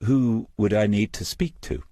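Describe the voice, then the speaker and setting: A man's voice: fairly low pitch, fairly even intonation, a little slow, dark tone, dry recording, clean studio sound, pitched above the performer's own voice. A man voicing a mild, hesitant man seeking advice in an audiobook, polite and tentative. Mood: uncertain.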